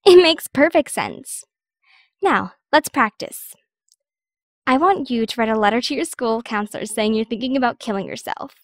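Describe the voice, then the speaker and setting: A woman's voice: on the high side, animated, moderate pace, no echo, clean studio sound. A woman playing a bossy, vain, manipulative teenage girl giving lessons in an audio drama.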